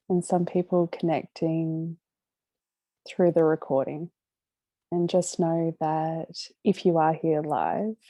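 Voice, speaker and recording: A woman leading a guided meditation, speaking gently and unhurriedly with long pauses between short phrases. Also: low voice, dry recording. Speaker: meditation guide hosting a live session.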